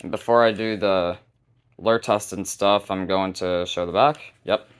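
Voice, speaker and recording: A man with a fairly low voice presents items from his collection in a casual, unscripted way. His delivery is informal and somewhat halting, like a hobbyist host talking as he goes. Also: steady tone, a little hiss or hum. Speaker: hobbyist video host showing a radio collection. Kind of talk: casual unscripted product showcase.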